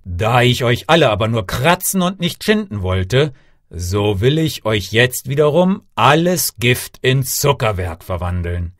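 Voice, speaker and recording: A commanding fairy-tale sorcerer patriarch, played by a male reader, who pronounces judgment in solemn, declamatory sentences. His delivery moves widely in pitch and sounds close and dry. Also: sing-song, a little slow, a bit bright, no echo. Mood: forgiving. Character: stern sorcerer father, grandfather, punishing then forgiving.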